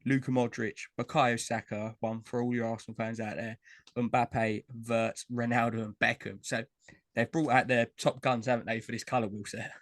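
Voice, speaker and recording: A man talks casually on a podcast, rattling through lists of names with plenty of fillers. His voice sits at a middle pitch. The recording is dry and close, with no room sound. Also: level tone, normal speed, dry recording. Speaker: podcast co-host. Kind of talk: casual chat about trading cards.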